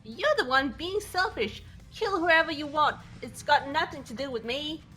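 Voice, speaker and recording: Woman as a brash, high-pitched defiant schoolgirl. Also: expressive, moderate pace, sharp tone, faint music behind. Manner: confrontational, exclaiming. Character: brash, defiant, indignant teenage girl student. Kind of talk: fan voiced visual novel playthrough.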